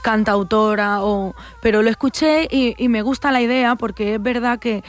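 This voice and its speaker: A young woman with a Barcelona Spanish accent talks about her work as a flamenco singer. She answers slowly and reflectively, pausing on fillers and restarting her sentences as she searches for the right words. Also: fairly high pitch. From Spain. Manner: thoughtful, hesitant with many fillers and restarts. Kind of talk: interview answers.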